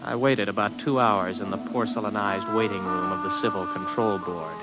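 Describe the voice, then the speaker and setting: An actor playing an uneasy, defiant young former space officer in a 1950s radio drama. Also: male voice, fairly low pitch, steady tone, moderate pace, lightly acted, low-quality recording. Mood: uneasy, brooding. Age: young adult. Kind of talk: 1950s radio drama acting and first-person narration. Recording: old radio recording with music behind.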